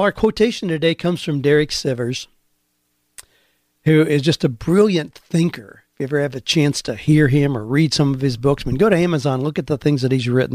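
A man with a slightly higher voice hosts a career radio show, earnest and encouraging. He talks conversationally on a close mic with a dry, unechoing sound. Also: a little mellow, dry recording. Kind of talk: podcast radio monologue.

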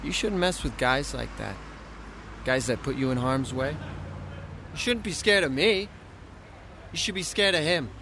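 An actor playing a menacing, mocking debt-collecting thug in a screen drama, taunting and intimidating. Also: male voice, on the high side, expressive, a little slow, vocal fry, pauses often, noisy background. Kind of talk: naturalistic screen drama acting.

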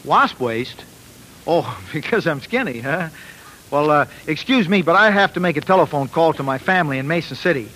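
A man's voice: a little high, expressive, moderate pace, a bit bright, rough recording. A man playing an eager, polite, naive young musician, earnest and bashful, in 1940s radio drama style. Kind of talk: 1940s radio acting and narration. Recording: old 1940s radio broadcast.

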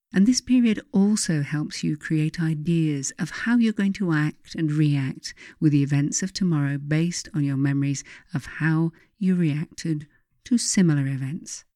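A woman reading her own self-help writing aloud as scripted narration, in a measured, emphatic, instructive manner. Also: on the low side, dark tone, no echo, studio quality.

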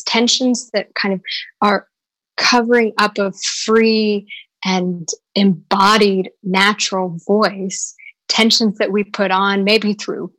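A woman's voice: medium pitch, fairly bright tone, no room sound, low-quality recording. A woman working as a voice coach, answering interview questions thoughtfully with frequent restarts and repeated words.

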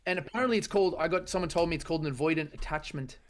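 A young man, a guest musician, chatting casually in a radio interview with quick restarts. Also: a little high, background noise. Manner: fast, easygoing, restarts.

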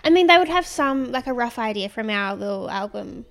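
A woman's voice: on the high side, animated, brisk, creaky. A woman with a young-sounding, girlish voice, co-hosting a casual chat podcast, giggly and chatty. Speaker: podcast co-host.

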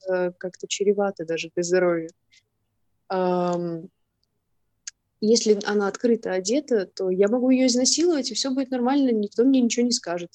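A woman from Irkutsk, a guest on a casual podcast, speaking in an outspoken, indignant way with hesitant pauses. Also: medium pitch, steady tone, mellow. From Russia, Irkutsk.

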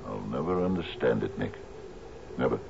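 An actor playing a troubled older sea captain in a 1970s radio drama, with a hesitant, stammering delivery. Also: male voice, rumbling low, big pitch swings, moderate pace, vocal fry, exaggerated voice. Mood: somber, wistful. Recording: muffled, noisy 1970s radio broadcast.

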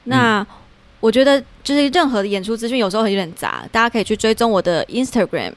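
A woman's voice: on the high side, animated, fast talker. A woman with a Taiwanese Mandarin accent, chatting casually as a podcast guest. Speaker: guest, theatre worker.

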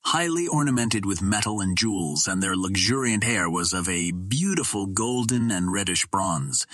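A man reading an adventure novel aloud as scripted narration, in a measured storytelling manner. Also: fairly low pitch, fairly bright tone, husky, no room sound. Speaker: audiobook narrator.

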